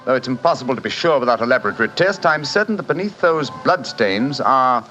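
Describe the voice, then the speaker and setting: An actor playing a confident English gentleman detective with a British accent, clipped and authoritative in a 1940s radio drama. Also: mid-range voice, animated, fast. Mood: assured. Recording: dull old radio recording with music.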